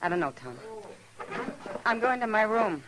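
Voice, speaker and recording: A woman's voice: medium pitch, very animated, moderate pace, background noise, close to an everyday voice. An actress playing a caring, worldly saloon woman in a radio western, earnest and plain-spoken, pleading yet resigned. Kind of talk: old-time radio western acting.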